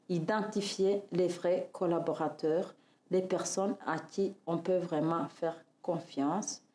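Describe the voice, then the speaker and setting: A woman from Rwanda in her fifties, a nutritionist describing her work in a measured interview, with pauses and hesitations. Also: on the low side, darkish tone, reverberant. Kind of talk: interview testimony.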